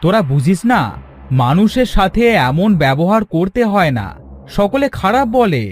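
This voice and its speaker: Man as a high-voiced village father. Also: big pitch swings, moderate pace, soft background music. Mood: concerned. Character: mild village father gently admonishing his daughters. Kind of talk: cartoon character acting.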